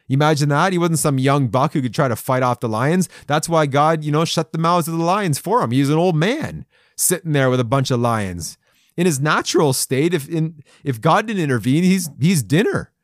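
A man's voice: on the high side, expressive, moderate pace, no echo, clean studio sound. A man in his forties giving a folksy, encouraging sermon to men, with playful asides and chuckles. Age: 40s. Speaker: men's devotional speaker. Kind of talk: conversational podcast sermon.

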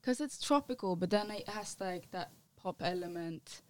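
A young adult woman from Sweden, a musician and model, talking casually as a podcast guest in a relaxed, rambling way full of "like". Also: mid-range voice, moderate pace, slight background noise. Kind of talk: casual interview chat.

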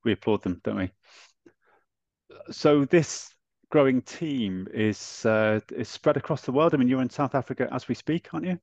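A man's voice: mid-range voice, normal speed, darkish tone, dry recording. A male podcast host who asks friendly questions and stammers over his words. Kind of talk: casual interview. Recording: dull, dry lo-fi sound.

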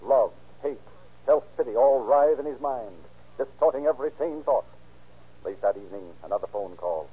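A man's voice: medium pitch, lively intonation, normal speed, slightly rough. A man narrating a radio drama in a solemn, theatrical, old-time style. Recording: tinny old radio recording with music.

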